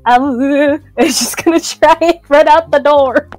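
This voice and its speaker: A woman's voice: high-pitched, a little slow, darkish tone, soft background music. A woman playing a tabletop game, describing her character's moves in a casual, giggly, hesitant way. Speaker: player. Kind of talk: casual tabletop role-play chat.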